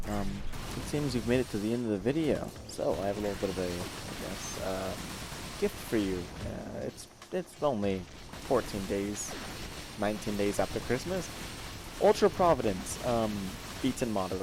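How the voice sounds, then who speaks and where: Lowish-voiced man chatting over clear noise. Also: big pitch swings. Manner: hesitant, halting delivery. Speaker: YouTuber giving a closing note. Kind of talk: casual unscripted chat. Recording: clearly noisy amateur recording.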